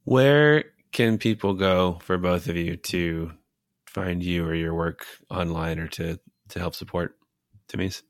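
A man with a deep voice hosts a podcast in a casual interviewing style. The sound is dry, with hardly any room around him. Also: measured pace, dry recording. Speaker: podcast host.

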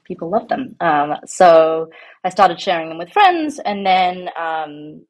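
Low-voiced Australian woman, rambling. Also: slow pace. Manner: relaxed and rambling, many ums and restarts. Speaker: guest, home farmer. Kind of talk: casual interview conversation.

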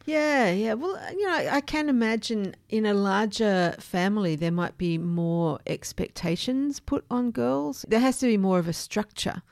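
A woman's voice: medium pitch, moderate pace, hardly any pauses, a little mellow, dry recording, studio quality. A woman hosting a conversational discussion of research, with an inquisitive, thoughtful manner. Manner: inquisitive, thoughtful, affirming listener. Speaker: podcast host and interviewer.

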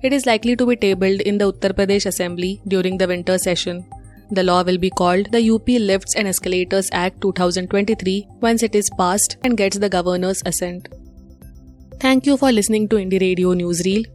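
Female news presenter, Indian accent, mid-pitched voice, scripted bulletin read over music. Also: a little fast, a little mellow.

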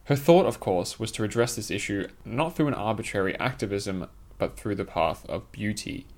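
A man's voice: fairly low pitch, moderate pace, a little mellow. A man reading his own scripted essay aloud, in a formal, literary and opinionated manner.

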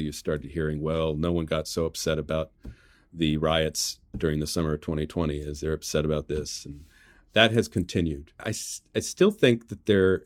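Low-voiced American man, halting political analysis. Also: no echo, studio quality. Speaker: interview guest, journalist and author. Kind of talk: political commentary in conversation.

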